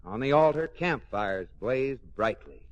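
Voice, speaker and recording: An actor playing a mild, sardonic, exasperated husband in a 1940s radio comedy. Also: male voice, medium pitch, animated, a little slow, dull audio, lightly acted. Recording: faintly noisy old radio recording.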